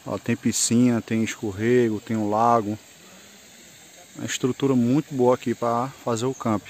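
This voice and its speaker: A man's voice: medium pitch, flat delivery, normal speed, darkish tone. A man with a northeastern Brazilian, chats his way through a woodland campsite, pointing things out as he walks. He holds the camera himself outdoors, and faint insects and birds hum in the background. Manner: chatty, pointing things out, loose and rambling. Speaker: moto travel vlogger. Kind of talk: casual vlog tour narration. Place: outdoors at a rural campsite by a river and woods.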